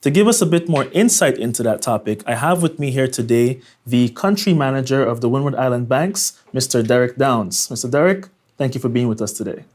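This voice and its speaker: A man's voice: mid-range voice, animated, fairly quick, few pauses, darkish tone. A man hosting an interview, asking polite, formal, prepared questions. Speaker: interview host.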